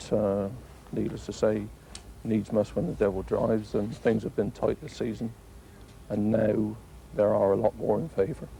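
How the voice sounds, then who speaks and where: An Englishman with a very dark, mellow and gravelly voice gives a measured interview answer. His intonation stays flat, with faint noise behind. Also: lowish voice, poor audio.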